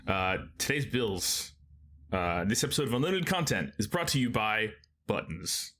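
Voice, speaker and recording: Relaxed chatty young man, podcast co-host. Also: on the low side, moderate pace, a little hiss or hum. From Texas. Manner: easygoing, chatty, with frequent fillers and restarts. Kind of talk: casual chat between brothers.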